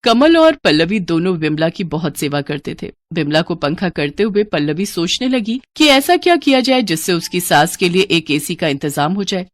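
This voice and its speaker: A woman's voice: lowish voice, sing-song, moderate pace, few pauses. A woman narrating a scripted moral story as a storyteller.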